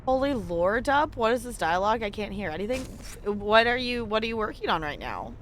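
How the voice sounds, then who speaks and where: A woman's voice: a little high, animated, a little fast, a little hiss or hum. A woman chatting casually and animatedly as she streams a video game live and talks to her viewers. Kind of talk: casual live stream chat with gameplay commentary.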